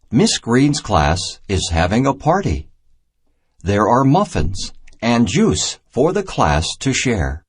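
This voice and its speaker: A man reading a children's math storybook aloud in a deliberate, simple teaching manner. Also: on the low side, slightly rough. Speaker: narrator of a children's math reader.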